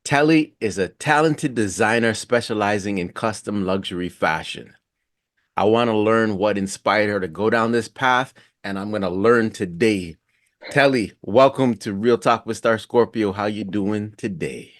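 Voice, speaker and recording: A man's voice: lowish voice, normal speed, no echo, clean studio sound. A man hosting a casual podcast interview, asking questions in an informal, inquisitive way.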